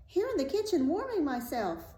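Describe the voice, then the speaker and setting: A woman's voice: high voice, huge pitch swings, moderate pace, mellow, pitched above the performer's own voice. A woman voicing an eerie, placid little talking drop of blood in a storybook, answering in a sing-song way. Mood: innocent, placid. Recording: roomy echo, faint noise.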